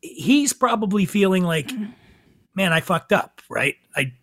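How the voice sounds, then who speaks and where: A middle-aged man co-hosting a podcast, joking wryly and halting as he weighs his words. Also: sits high, lively intonation, a bit bright, no room sound, clean studio sound. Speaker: podcast co-host. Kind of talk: casual podcast chat.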